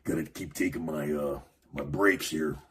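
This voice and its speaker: A man hosting a podcast, talking casually about wrestling in a rambling way, with a raspy voice and lots of fillers. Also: rumbling low, steady tone, normal speed, background noise. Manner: rambling, many fillers and restarts. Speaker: podcast host. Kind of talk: casual wrestling commentary monologue.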